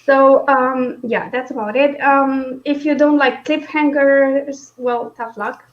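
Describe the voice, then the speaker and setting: A woman's voice: high voice, steady tone, takes it slowly. A woman chatting casually about books as a stream co-host, informal and hesitant, with a wry, self-deprecating manner. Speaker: co-host of a book discussion stream. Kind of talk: casual unscripted book chat. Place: indoors on a live video stream. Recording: dull lo-fi online call audio.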